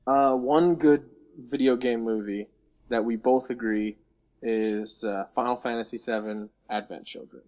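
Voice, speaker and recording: A man's voice: medium pitch, steady tone, measured pace, a little mellow. A man co-hosting a podcast in casual chat, agreeable, chiming in with brief interjections. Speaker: podcast co-host.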